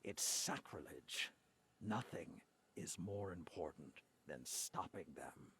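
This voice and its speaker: An actor playing a stern, hardened old warrior lord in a video game, grave and blunt, with a gravelly voice. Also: male voice, low-pitched, lively intonation, takes it slowly, heavily acted. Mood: exasperated, stern. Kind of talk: dramatic video game acting. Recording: loud music behind the voice. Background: music.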